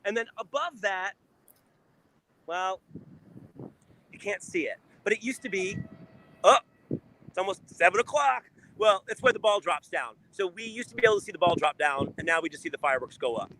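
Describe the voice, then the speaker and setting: A man's voice: sits high, animated, normal speed, pauses often, bright, forceful tone, a little hiss or hum, rough recording. A man chatting off the cuff as a live show host, rambling and thinking aloud in a casual way. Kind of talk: casual improvised chat.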